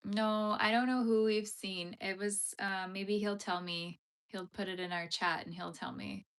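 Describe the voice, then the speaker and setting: A woman's voice: mid-range voice, unhurried, creaky, a bit bright, clean tone. Chatty young woman streaming while she crochets. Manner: chatty, laughs often, filler-heavy. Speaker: streamer reading chat. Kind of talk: casual live-stream chat while crocheting. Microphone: phone streaming vertically.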